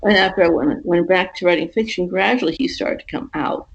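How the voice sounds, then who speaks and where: A woman giving a casual interview as a guest author, explaining things haltingly and rephrasing as she goes. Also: fairly low pitch, creaky, slightly rough, lo-fi sound.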